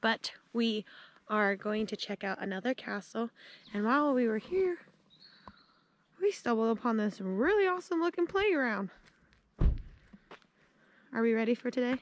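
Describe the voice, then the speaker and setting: High-voiced young woman vlogging outdoors. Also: very animated, normal speed, long pauses. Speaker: travel vlogger. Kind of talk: casual vlog chat to camera. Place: outdoors by roads, woods and parking lots. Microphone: handheld action camera at arm's length. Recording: outdoor noise and clicks on handheld camera.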